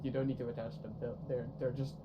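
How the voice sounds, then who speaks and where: Young guy on stream, jokey and laughy, mid voice, clear hiss on his mic. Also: medium pitch, fast talker, dull audio. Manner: animated, laughs often, playful sarcasm. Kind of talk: casual chat while gaming. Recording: noisy stream mic audio.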